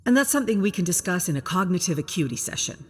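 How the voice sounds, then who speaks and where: A matter-of-fact male doctor in a space colony story, acted out by a woman narrator. He is dismissive, brisk and clinical, with a husky edge. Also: on the low side, big pitch swings, in a large hall, voice pushed up.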